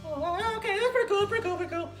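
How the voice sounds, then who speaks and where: Squeaky, excitable little girl puppet. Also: rapid-fire. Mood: chatty. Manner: rambling, repetitive, childlike. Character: high-voiced excitable bossy little girl puppet, easily distracted. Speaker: children's puppet show host. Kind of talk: playful improvised storytelling for kids. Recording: roomy echo, faint music behind.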